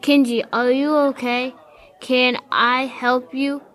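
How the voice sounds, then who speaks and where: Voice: sits high, flat delivery, slow pace, a bit bright. A female performer playing a kind schoolgirl who stands up to bullies in an amateur school radio play. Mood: concerned.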